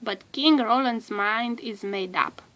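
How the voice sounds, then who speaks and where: A woman with a fairly high voice reads a children's storybook aloud. She goes very slowly and deliberately, stretching certain words as she narrates. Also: fairly bright tone.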